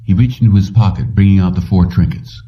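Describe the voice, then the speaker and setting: A man's voice: low-pitched, fairly even intonation, fast. A man reading a short story as scripted audiobook narration. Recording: dull lo-fi, distant from mic.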